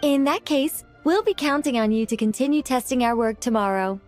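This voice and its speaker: A woman voicing a studious, earnest young female mage in an anime game, speaking in a measured, precise, formal way. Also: high voice, lively intonation, a little mellow, soft background music. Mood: polite.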